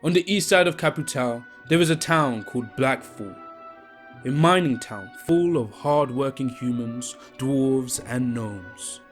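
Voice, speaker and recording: A man narrating a fantasy audio drama in a measured storytelling manner. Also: mid-range voice. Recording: narration over faint music.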